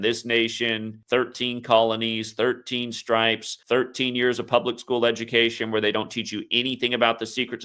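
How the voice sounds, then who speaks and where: A man's voice: medium pitch, sharp tone. An American man hosting an online commentary, speaking emphatically and insistently as he explains and reads aloud, stressing key phrases. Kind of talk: conspiracy commentary, reading articles aloud.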